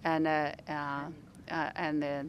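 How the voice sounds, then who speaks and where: A restaurant owner, a woman whose first language is Mandarin, speaks English with a clear Mandarin accent. She talks slowly, stopping often and restarting her sentences with many fillers. Her voice sits a little low, and a faint rumble runs under the recording. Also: level tone, lo-fi sound. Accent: Mandarin Chinese. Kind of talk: interview answers.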